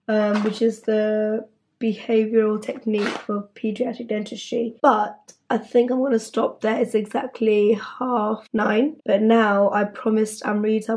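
A woman's voice: fairly high pitch, a little mellow, no echo. A young woman in her twenties, a dental student, chatting casually to a phone held at arm's length in her car and bedroom, her voice still recovering from illness. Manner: chatty, rambling, self-deprecating. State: recovering from lost voice. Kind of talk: casual vlog chat to camera. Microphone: phone camera at arm's length.

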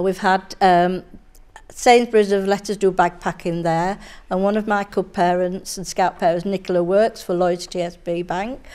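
Older woman scout leader, low voice. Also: darkish tone. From England. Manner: earnest, halting with many fillers. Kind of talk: interview. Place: scout hall indoors.

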